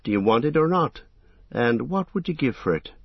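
A man's voice: medium pitch, sing-song, normal speed, vocal fry, husky, no echo, muffled recording, pitched above the performer's own voice, full character voice. A man voicing a small, young, cautious hobbit in an audiobook, bargaining carefully and craftily. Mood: wary bargaining. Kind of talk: audiobook fantasy character.

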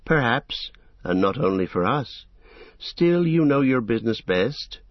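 A man's voice: mid-range voice, animated, measured pace, dry recording, lo-fi sound, voice pushed up, natural voice. A man voicing a small, young, cautious hobbit in an audiobook, bargaining carefully and craftily. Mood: wary bargaining. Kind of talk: audiobook fantasy character.

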